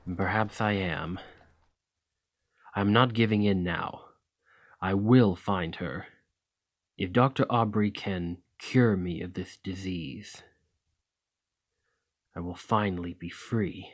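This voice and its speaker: A man acts out a weary, gallant vampire gentleman from an audio roleplay, centuries old and desperate for a cure. His voice sits fairly low and moves very slowly. He phrases everything with formal, old-world politeness. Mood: anxious, determined. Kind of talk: scripted ASMR roleplay acting.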